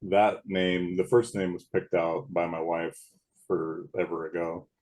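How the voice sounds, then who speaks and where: Very deep booming man. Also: little pitch movement, a little slow, darkish tone. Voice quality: big booming voice. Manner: laid-back, rambling with restarts. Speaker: guest. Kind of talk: casual chat.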